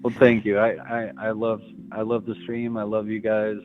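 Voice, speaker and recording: A soft-spoken man calls into a stream, stammering and hesitant as he speaks. His fairly low voice comes through a tinny, phone-like line, and faint music plays behind him. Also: monotone, moderate pace. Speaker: caller. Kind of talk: casual call-in chat. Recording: tinny phone-like call audio.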